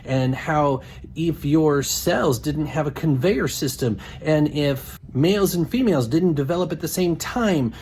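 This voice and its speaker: A man's voice: fairly high pitch, measured pace, a little mellow. A man speaking as a religious apologist in an argumentative, insistent and assertive way. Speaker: religious apologist, video maker. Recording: clear background noise.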